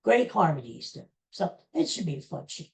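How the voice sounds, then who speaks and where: Gravelly-voiced chatty woman in her sixties. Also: on the low side, very animated, moderate pace. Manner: rambling, chatty, addresses listeners directly. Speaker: podcast host. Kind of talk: casual solo podcast monologue.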